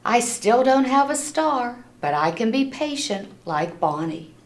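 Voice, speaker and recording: A woman's voice: fairly high pitch, very animated, a little slow. A woman reading a children's storybook aloud expressively, with simple storytelling and little asides to listeners. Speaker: storybook reader. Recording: echoey room.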